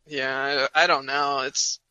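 A man's voice: medium pitch, little pitch movement, a little slow, pushed and bright, clean tone, dry recording, dull audio. A young man co-hosting a casual podcast chat, blunt and opinionated, with lots of fillers like "like" and "uh.". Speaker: podcast co-host.

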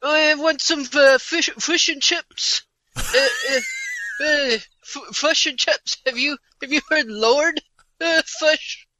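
A man doing a goofy, exaggerated impression of a New Zealander, in a high, strained voice that stumbles over its words. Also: takes it slowly, pushed and bright, heavily acted, low-quality recording. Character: man with a mock New Zealand accent, rambling.

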